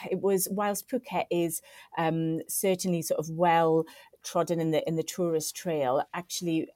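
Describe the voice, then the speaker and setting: Upbeat woman with a low, mellow voice. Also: dry recording, studio quality. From Northeast England. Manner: effusive, upbeat, piles on superlatives. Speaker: podcast guest. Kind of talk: casual interview storytelling.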